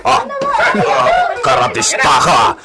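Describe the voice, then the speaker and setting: A man acting a loud, swaggering gang bully. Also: mid-range voice, very animated, slow, a loud crowd. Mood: jeering, aggressive. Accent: Filipino. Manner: taunting, shouting, bursts of laughter. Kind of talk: Filipino radio comedy-drama acting.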